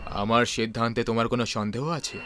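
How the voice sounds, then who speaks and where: A man playing a stern, low-voiced company boss. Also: steady tone, quick pace, background noise, lightly acted. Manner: low, curt, authoritative. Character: stern older male company boss, curt. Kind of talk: animated horror dub. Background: background music.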